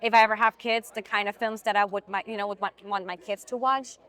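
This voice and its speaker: Woman, young adult, Swiss accent, interview guest, chatty, animated storyteller. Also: medium pitch, fast. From Switzerland. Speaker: actress, podcast guest. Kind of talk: casual interview talk.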